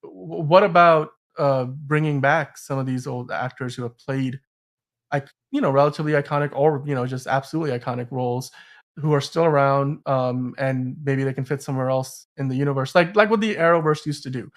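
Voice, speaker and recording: A man's voice: medium pitch, fairly quick. A man hosting a casual movie discussion podcast, talking in a wordy, circling way with plenty of hedging. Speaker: podcast host.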